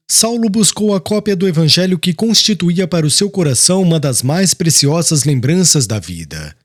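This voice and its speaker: Formal male audiobook narrator. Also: a little high. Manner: steady, formal storytelling. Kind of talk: scripted literary narration. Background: faint background music.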